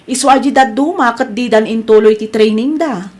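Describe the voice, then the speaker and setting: Actress for earnest female co-worker with a grievance, Filipino accent, higher-pitched voice, audio drama dialogue. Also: normal speed, darkish tone, close to an everyday voice. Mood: indignant. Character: female employee, indignant tattling sympathetic co-worker.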